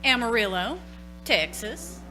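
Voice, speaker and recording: Plucky young woman hitchhiker played by an actress, high bright voice, slangy, brash, vintage radio drama style, faint music. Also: lively intonation, slow, exaggerated voice, poor audio. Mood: breezy. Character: plucky, slangy young female hitchhiker, breezy then alarmed. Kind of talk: 1940s-style radio play acting. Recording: stage radio play with music.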